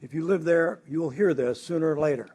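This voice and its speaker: A man's voice: fairly high pitch, a little fast, warm tone, slight background noise. Hesitant man recalling events in an interview. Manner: rambling, hesitant with fillers and restarts. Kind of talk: casual interview recollection.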